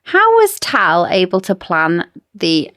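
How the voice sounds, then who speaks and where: A woman's voice: lowish voice, huge pitch swings, takes it slowly, fairly bright tone, no room sound, clean studio sound. A woman co-hosting a book discussion podcast, talking casually in an inquisitive, opinionated way. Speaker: podcast co-host.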